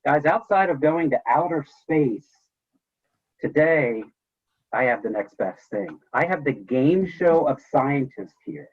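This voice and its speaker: Husky man hosting, tinny phone-like sound. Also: a little high, animated, measured pace. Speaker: teacher hosting an interview. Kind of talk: enthusiastic show-style introduction and questions. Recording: tinny, phone-like call audio.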